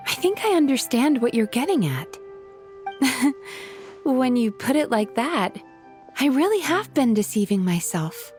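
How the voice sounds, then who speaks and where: Gentle, higher-voiced young woman. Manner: polite, thoughtful, soft-spoken. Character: gentle, polite young female psychologist and aspiring writer. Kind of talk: video game voice acting. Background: music.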